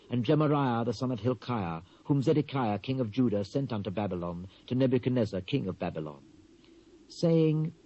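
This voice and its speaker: A dull, muffled cassette recording of a solemn British prophet acted by a man in a Bible drama. His voice is dark and mellow at a middling pitch. He declaims God's word gravely, drawing out his phrases with measured weight. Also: lightly acted. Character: solemn male Hebrew prophet proclaiming God's judgement. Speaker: actor reading dramatised scripture. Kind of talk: dramatised King James Bible reading.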